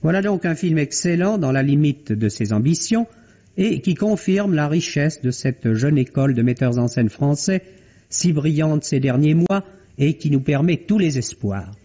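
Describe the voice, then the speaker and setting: A man's voice: fairly high pitch, expressive, moderate pace, warm tone. A man delivering a formal, articulate film review in the literary style of 1950s French radio. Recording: dull, band-limited vintage radio recording.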